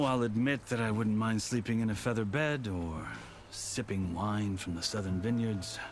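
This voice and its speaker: A man playing a seasoned, proud warrior turned lawgiver in a video game, telling his tale in measured, formal, eloquent phrases. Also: on the low side, close to an everyday voice. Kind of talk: scripted video game cutscene dialogue. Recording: music behind the voice.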